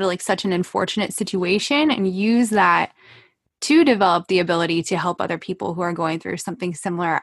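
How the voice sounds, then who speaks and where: A woman's voice: medium pitch, no room sound, clean studio sound. A woman in her thirties hosting an interview, affirming and sympathetic as she shares a similar story. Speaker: host. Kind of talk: conversational interview.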